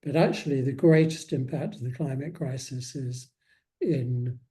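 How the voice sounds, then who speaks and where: Man, dark relaxed voice, reflective interview talk, measured hesitant phrasing, middling pitch. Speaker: interviewed author.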